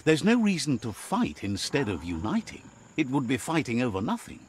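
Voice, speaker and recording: A man narrating an animal fable in a video game as a storyteller, with a measured, expressive delivery. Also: mid-range voice, a little hiss or hum. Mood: measured storytelling. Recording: music behind the voice. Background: background music.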